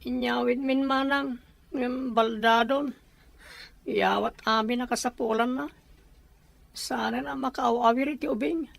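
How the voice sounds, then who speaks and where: A high voice with a gravelly creak from an actress plays a dutiful younger sister facing hardship. Her Ilocano-accented delivery is earnest and plaintive, in a dry drama recording with faint music behind. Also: fairly even intonation, measured pace, long pauses, no echo. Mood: pleading. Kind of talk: Ilocano audio drama acting.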